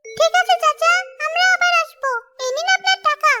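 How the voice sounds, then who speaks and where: Woman does an eager, well-mannered cartoon daughter, squeaky-high, over music. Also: fairly even intonation, normal speed. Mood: excited, polite. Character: excited, polite young daughter. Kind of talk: cartoon voice acting.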